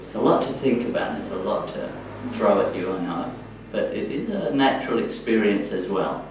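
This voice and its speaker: A man's voice: lowish voice, animated. Encouraging man teaching in an echoey room. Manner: encouraging, halting. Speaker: yoga teacher trainer. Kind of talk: spoken feedback in a group class. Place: echoey indoor room, from a distance. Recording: echoey room, distant mic, faint noise.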